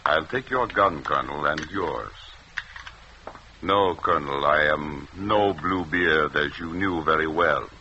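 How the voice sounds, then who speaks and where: A muffled 1940s radio drama with a light hiss. a French resistance leader, a cool doctor with a very deep male voice. He speaks in measured, formal phrases with firm command. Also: pushed and bright, exaggerated voice. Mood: proud, scornful. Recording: muffled, noisy old radio recording.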